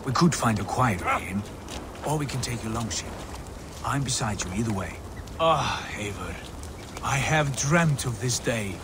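A man's voice: medium pitch, animated, moderate pace, noisy background, close to an everyday voice. A man playing a hearty, loyal Viking warrior in a video game, speaking earnestly about battle and adventure. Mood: eager, fond. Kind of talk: dramatic video game acting.

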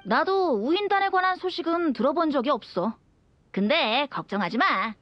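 High, boyish young bard. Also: female voice, animated, normal speed, no echo, lo-fi sound. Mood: reassuring. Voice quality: boyish, light. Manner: playful, lilting. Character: carefree teasing young male bard, breezy and reassuring. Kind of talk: animated video game voice acting.

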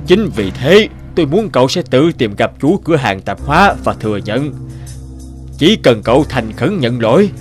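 A man's voice: mid-range voice, very animated, normal speed, lightly acted. Guy playing old male teacher in a cartoon, patient n kind but firm, music underneath. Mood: earnest, encouraging. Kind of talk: animated drama dialogue.

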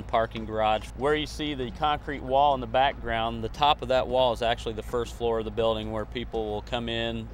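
A middle-aged man giving explanatory interview answers outdoors on a building site into a handheld microphone. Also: on the low side. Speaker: construction site guide. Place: open outdoor construction site. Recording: faint outdoor vehicle noise.

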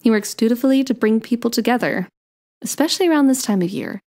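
A woman playing a playful, sweet young daughter of Cupid in an ASMR romance roleplay, coy and gently teasing. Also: fairly high pitch, animated, quick pace. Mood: warm, playful.

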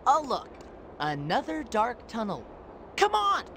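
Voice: medium pitch, huge pitch swings, unhurried, fairly bright tone, a little hiss or hum, full character voice. A performer voicing a sarcastic, impatient teenage boy warrior in a cartoon game, brash and exclamatory.

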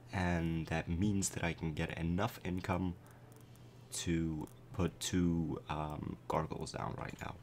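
A man's voice: low-pitched, slight background noise. A young man commenting casually on his gameplay at night, his voice cracking and strained after a concert, with many fillers as he explains.

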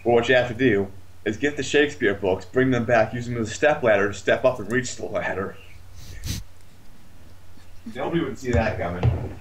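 A man's voice: medium pitch, normal speed, pauses often, a little hiss or hum. A man chatting casually about a video game, complaining in a sardonic, foul-mouthed way.

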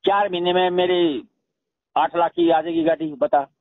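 A man's voice: on the high side, steady tone, moderate pace, dry recording. A middle-aged man with a Haryanvi accent, arguing insistently on a recorded phone call and repeating himself to be heard. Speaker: father-in-law in a family dispute. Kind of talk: heated phone conversation. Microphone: telephone.

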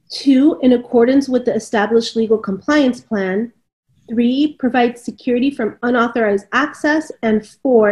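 A woman in her thirties with a somewhat high-pitched voice reads formal text aloud, adding short linking remarks. She is speaking from home on a video call. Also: a little mellow. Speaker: co-host reading the standard. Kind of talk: reading a standard aloud with brief remarks.

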